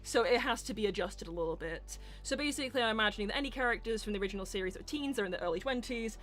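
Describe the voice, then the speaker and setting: A woman's voice: mid-range voice, lively intonation, rapid-fire, a bit bright, faint music behind. A woman hosting a live roleplay stream, chatting casually and candidly as she answers questions in rambling explanations. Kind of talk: casual livestream Q&A chat.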